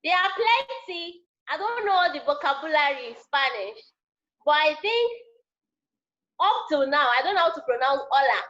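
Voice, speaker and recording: A high-pitched woman with a Nigerian accent, whose first language is Yoruba, chats as a guest speaker to language students. She gets animated and repeats herself to make a point. The sound is dull and lo-fi, like a video call. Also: normal speed. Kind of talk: casual explaining to a class.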